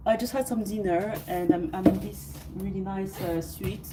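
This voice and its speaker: A woman's voice: mid-range voice, fairly even intonation, normal speed, very noisy. A woman from France with a French accent, hosting a casual livestream, rambling and hesitant with many fillers and restarts, sounding sleepy. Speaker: livestream host. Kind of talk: casual livestream chat with viewers. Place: hotel suite, indoors.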